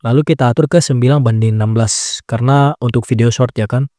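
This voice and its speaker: Mellow-voiced man explaining a tutorial. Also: mid-range voice, quick pace, dry recording, clean studio sound. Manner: relaxed step-by-step explaining, frequent "nah" and "ya kan" tags. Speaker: tutorial creator. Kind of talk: casual screen-recorded app tutorial.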